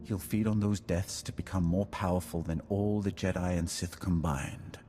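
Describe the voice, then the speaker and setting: Man acting a deep-voiced, solemn Sith lord. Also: steady tone, a little hiss or hum, natural voice. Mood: ominous. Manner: solemn, ominous, measured declarations. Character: grave Sith lord defector, proud, coldly calculating seer. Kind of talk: dramatic video game acting. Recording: loud music behind the voice.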